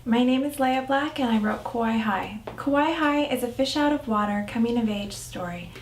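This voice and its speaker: A woman's voice: a little high, moderate pace. Woman speaking in echoey theater, slightly distant. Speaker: screenwriter presenting their script. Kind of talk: prepared spoken pitch of a script. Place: theater stage. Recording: echoey theater, distant mic, faint noise.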